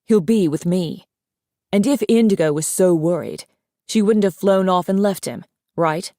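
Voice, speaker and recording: A woman's voice: on the low side, normal speed, darkish tone, no echo, studio quality, close to an everyday voice. A woman voicing a young male dragon in an audiobook, arrogant, brusque and sarcastic, with a defensive hot temper. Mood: brusque, impatient.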